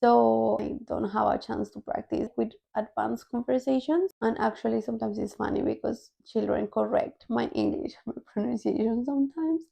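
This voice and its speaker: A young woman with a Colombian Spanish accent shares personal stories in a casual vlog style. Her voice sits a little high yet stays soft and mellow. A strong, gravelly fry runs through her speech. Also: steady tone, normal speed. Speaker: language-learning vlogger. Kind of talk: casual personal storytelling to viewers.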